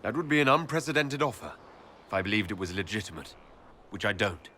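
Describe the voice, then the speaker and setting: An actor playing a composed, skeptical elven leader in a video game, measured and articulate in his questions. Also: lowish voice, animated, pushed and bright, slight background noise. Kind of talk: video game cutscene acting.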